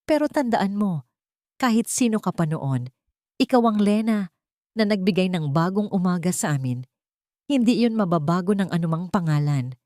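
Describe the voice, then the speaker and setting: Calm, wise older mother, acted by a woman. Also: lowish voice, dry recording, clean studio sound, close to an everyday voice. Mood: reassuring. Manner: calm, soothing, measured. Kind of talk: Tagalog audio drama acting.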